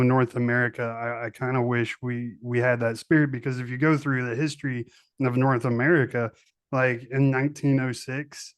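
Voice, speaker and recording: Enthusiastic middle-aged podcast host. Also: male voice, mid-range voice, clear, resonant tone, no room sound. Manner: enthusiastic, emphatic, repeats for stress. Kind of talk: casual interview chat.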